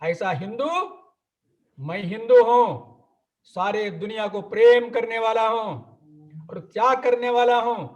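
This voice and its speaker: A man giving an ideological talk at a gathering, building his points through long repeated lists in a slow, emphatic cadence. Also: high voice, fairly even intonation. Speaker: speaker at an organisation's gathering. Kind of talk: ideological lecture. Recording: dull lo-fi recording.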